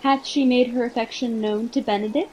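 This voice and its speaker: A woman's voice: fairly high pitch, fairly even intonation, brisk, darkish tone, a little hiss or hum, lightly acted, poor audio. A woman acting a playful, scheming prince in an amateur Shakespearean radio play. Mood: feigned concern. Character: courtly male prince, playful, scheming leader.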